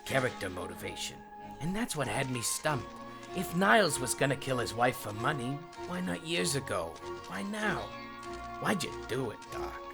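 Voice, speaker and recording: Folksy bumbling male sleuth, middle-aged, gravelly and animated, secretly sharp, comedy fandub over music. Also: mid-range voice, normal speed. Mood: probing. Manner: folksy, rambling, feigned bumbling. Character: deceptively humble, folksy, shrewd middle-aged male detective. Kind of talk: comic fandub acting.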